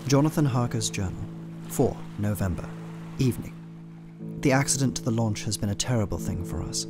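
A man's voice: lowish voice, a little fast. Man reading a formal journal entry. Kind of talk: audio drama reading. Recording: music bed under voice.

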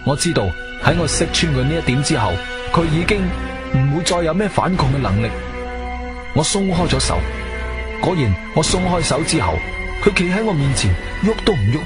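A male actor playing a shrewd adventurer telling his own story in a Cantonese radio drama. His voice sits a little high for a man and swings widely with emphatic, expressive delivery. Prominent music plays behind him. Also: brisk, natural voice. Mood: gripping, suspenseful. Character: male adventurer hero, shrewd, firm, questioning investigator. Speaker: narrator and lead actor. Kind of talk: dramatic first-person audio drama narration. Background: background music.

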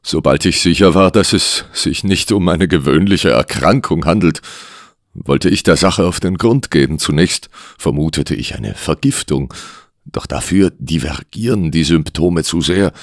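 A man voicing a sick, desperate police inspector who believes he is cursed, speaking in an urgent, halting manner for an audio story. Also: a bass voice, no echo, clean studio sound. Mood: weary pleading.

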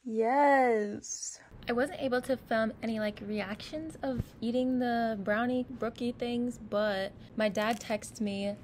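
A woman's voice: fairly high pitch, fairly even intonation, slow, a little mellow, slight background noise. A young woman chatting casually through a bake-with-me vlog in her home kitchen, narrating each step as she goes. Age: young adult. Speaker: vlogger.

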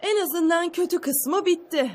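A brisk, sharp-tongued young cartoon heroine. She gives orders in a very high voice with music playing behind. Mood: exasperated. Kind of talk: dubbed cartoon acting.

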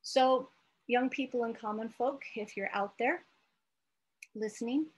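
Slow, husky American woman teaching. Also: fairly high pitch, low-quality recording. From United States, Iowa. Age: late 40s. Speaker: therapist presenting an educational video letter. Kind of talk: informal slide lesson with storytelling.

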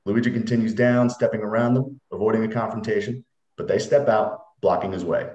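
A man's voice: fairly low pitch, steady tone, fairly quick, darkish tone, muffled recording. A man reading screenplay stage directions as narrator in a scripted reading. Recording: roomy echoing computer mic.

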